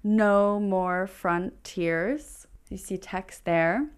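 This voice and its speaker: Measured, smooth-voiced woman explaining art. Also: mid-range voice. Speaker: gallery representative being interviewed. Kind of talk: prepared, explanatory art talk in an interview.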